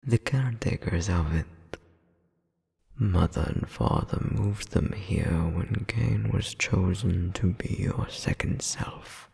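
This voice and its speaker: A man playing a cold, menacing royal demon brother in an audio roleplay, haughty and deliberate, with a breathy, husky voice. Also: deep voice, a little mellow, exaggerated voice. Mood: veiled menace. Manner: haughty, slow, deliberate, veiled threats. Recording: long reverberant hall echo.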